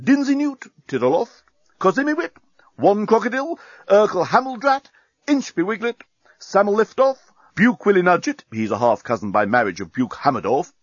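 A man's voice: high voice, sing-song, normal speed, no room sound, highs cut off, voice pushed up. A man voicing a pompous, formal and easily defensive military captain of alien invaders in a comic audiobook. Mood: formal, eager.

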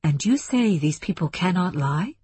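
A woman reads the part of a calm male colleague in a science fiction audiobook. He is soft-spoken and questioning, with a husky, dry sound. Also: on the low side, moderate pace, no echo. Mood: puzzled.

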